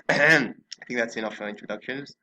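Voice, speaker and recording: A man's voice: medium pitch, moderate pace, bright, forceful tone. A man hosting a casual podcast chat, often playfully exasperated with his guests. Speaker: podcast host.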